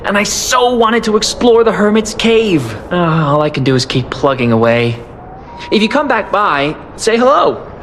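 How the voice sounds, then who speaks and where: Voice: medium pitch, big pitch swings, moderate pace, fairly bright tone, slight background noise. An actor playing an eager, awkward amateur treasure hunter in a video game, flustered, hesitant and earnest. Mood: eager, resigned. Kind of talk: video game character acting.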